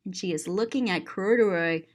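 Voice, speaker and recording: A woman's voice: mid-range voice, normal speed. A woman reading aloud to children as a storyteller, expressively, with simple explanatory asides and dramatic gasps. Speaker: storyteller, author and teacher.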